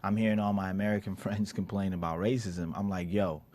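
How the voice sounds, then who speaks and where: Man, from London, fairly low voice, talk show guest, casual discussion. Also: level tone, fairly quick, darkish tone. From London, UK, until about thirteen.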